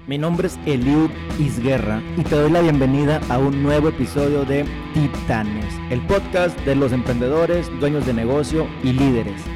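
A man reads a scripted podcast intro in a polished, announcer-style manner. His voice sits at an ordinary middle pitch. Guitar music plays clearly underneath him the whole time. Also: normal speed. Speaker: podcast host.

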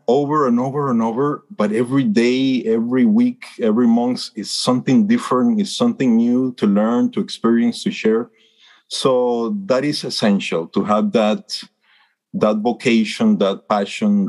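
A man's voice: mid-range voice, a little mellow. A man in his fifties from Costa Rica, with a Costa Rican Spanish accent, speaking as a birding guide in an interview. His answers are earnest and hesitant, with many fillers and restarts. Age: 50s.

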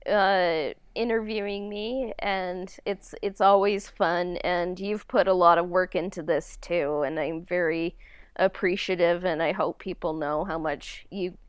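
Female podcast co-host, smooth clean tone, mid pitch, casual conversation, dry recording. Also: fairly even intonation, measured pace, no room sound. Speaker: podcast co-host, audio drama creator.

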